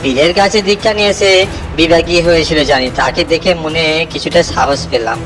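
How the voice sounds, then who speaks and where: A man's voice: fairly high pitch, moderate pace, over music, natural voice. A man playing a frightened village fisherman who recounts a ghostly night in a suspenseful horror audio story. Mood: fearful, suspenseful.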